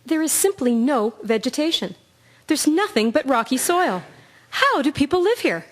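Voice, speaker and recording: An actress playing a composed, stoical Canadian nursing sister in a wartime radio drama. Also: female voice, sits high, sing-song, normal speed. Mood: dismayed.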